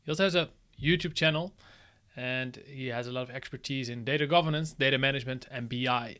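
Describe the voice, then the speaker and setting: A man's voice: medium pitch, fast, bright, forceful tone, clean tone. A man hosting a podcast, introducing his guest and asking him questions in an upbeat, chatty way. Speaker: podcast host. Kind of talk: casual interview with a scripted intro.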